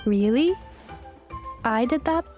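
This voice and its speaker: A woman voicing a gentle, polite, earnest female robot in a video game. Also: fairly high pitch, animated, slow pace, soft background music. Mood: puzzled.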